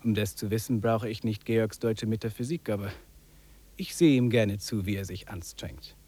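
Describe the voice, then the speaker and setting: An actor in a 1950s radio drama playing a wry, contemplative English trekker who muses and drops in English phrases. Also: male voice, lowish voice, moderate pace, darkish tone, slightly rough. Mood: reflective. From England. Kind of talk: 1950s German radio drama acting, reflective monologue and dialogue.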